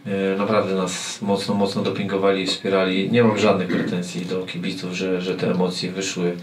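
A man in his forties, a football coach answering press questions after a match, speaking haltingly with repeated words and fillers. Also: sits low, monotone. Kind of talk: post-match press conference. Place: press room with sponsor wall. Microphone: table mic on stand. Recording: echoey room, mic at a distance, faint noise.

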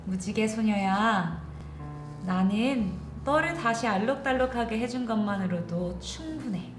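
A woman voicing a kind, comforting cloud in a children's storybook tale, calling out gently. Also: medium pitch, animated, measured pace, natural voice. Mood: tender farewell. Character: kind, comforting, self-sacrificing cloud spirit. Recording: roomy echo, music underneath.

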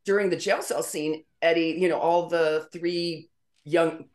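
A woman's voice: fairly low pitch, fairly even intonation, measured pace, darkish tone. A middle-aged woman, a guest on a video call interview, telling stories in a chatty way and laughing often. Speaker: interview guest, former model. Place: home room with framed pictures on the wall.

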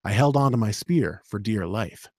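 A man's voice: on the low side, moderate pace, dry recording, clean studio sound. A man in his thirties with a slight Canadian accent, reading an action novel aloud and then chatting about narration. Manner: steady dramatic reading, chatty and laughing in talk. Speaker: audiobook narrator and host. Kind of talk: audiobook narration, then casual chat. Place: small home studio with dark drapes. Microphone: studio condenser mic with headphones.

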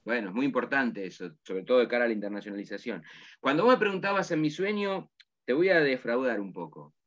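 A man's voice: mid-range voice, expressive, quick pace, fairly bright tone. Older Argentine man, dull video call. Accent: Argentine Spanish. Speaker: interviewer. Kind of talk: casual interview on a video call. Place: office with bookshelves, indoors. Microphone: webcam mic on a video call.

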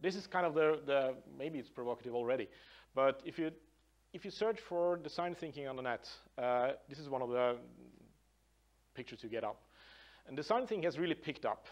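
A middle-aged Norwegian man presents at a conference in a plain, mid-pitched voice. He talks loosely, with restarts and filler sounds along the way. He is heard from across a large meeting room. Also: animated, brisk. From Norway. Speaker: conference speaker, design professor.

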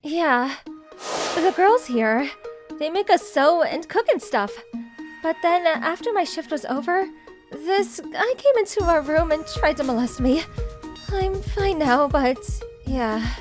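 A woman voicing a sweet, soft-spoken, gentle teenage girl in an anime dub. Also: high voice, animated, measured pace, music in the background.

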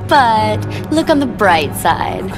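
Young female game villain: sadistic, mocking, lively sing-song taunts over clear music. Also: a little high. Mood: gleefully taunting. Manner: taunting, drawn-out mock sympathy. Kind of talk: video game cutscene acting.

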